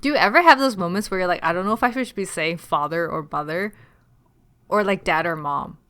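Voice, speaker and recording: A young woman co-hosting a casual podcast, playful and jokey, swinging from loud bursts to quiet asides. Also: fairly low pitch, brisk. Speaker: podcast co-host. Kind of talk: casual chat.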